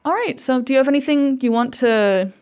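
A woman's voice: high voice, a little fast, a little mellow, slight background noise. Woman podcast host, thoughtful, restates the guest's points. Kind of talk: interview questions and scripted outro.

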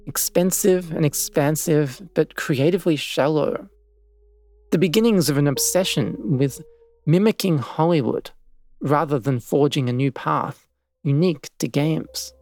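A man's voice: fairly high pitch, a little mellow. A man reading scripted documentary narration in the role of narrator.

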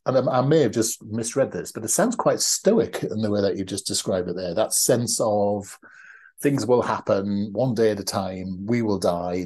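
A man's voice: lowish voice, mellow, slightly rough. A man from the of England, hosting a podcast over a video call and asking chatty, rambling questions full of restarts. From northeast England. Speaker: podcast host. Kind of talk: casual interview.

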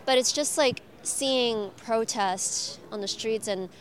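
A woman's voice: on the high side, slow. A young woman from Hong Kong, a guest in an interview, talking earnestly and casually with many fillers. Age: young adult. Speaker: guest, activist.

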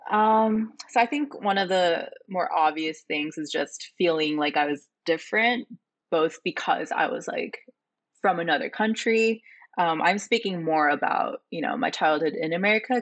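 A woman in her twenties, a native Korean speaker from South Korea, answering interview questions in a relaxed, thoughtful way with many fillers. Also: low-pitched, moderate pace. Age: 20s. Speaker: guest.

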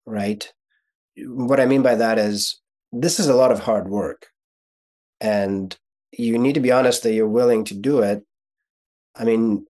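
This is a man in his forties with a fairly low voice, a native Russian speaker who grew up in Ukraine. He talks as a podcast guest in an unhurried, thoughtful way, often restarting a phrase before settling on it. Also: darkish tone. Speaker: guest, real estate investor and mentor. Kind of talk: podcast interview.

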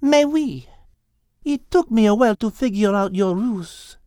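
Defiant animal heroine played by a woman, deliberate. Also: medium pitch, lively intonation, mellow, no echo, clean studio sound, natural voice. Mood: resolute, bold. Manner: firm, deliberate, accusing. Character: defiant, clever female animal heroine with French flair. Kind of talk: dramatized children's audiobook acting.

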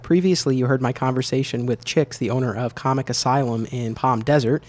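A man's voice: mid-range voice, steady tone, fairly quick, darkish tone. A man in his thirties hosting a podcast, interviewing and narrating in an easygoing, friendly way with fillers. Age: 30s. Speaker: podcast host.

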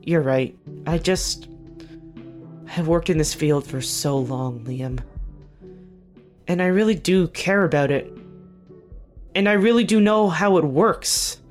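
A weary, guarded veteran boss played by an actor in a fiction podcast, with a mid-pitched voice over music. The delivery is earnest and naturalistic, halting and self-correcting, with a dry wit. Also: close to an everyday voice. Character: weary, earnest, guarded veteran team leader in a government system. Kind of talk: naturalistic audio drama dialogue.

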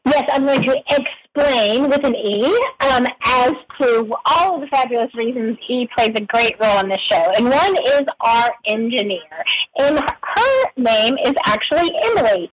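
A woman co-hosting a business radio show with a high voice. She talks in an upbeat, giggly way, and faint noise sits behind her. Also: expressive, normal speed, low-quality recording. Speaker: radio co-host. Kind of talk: playful radio banter.

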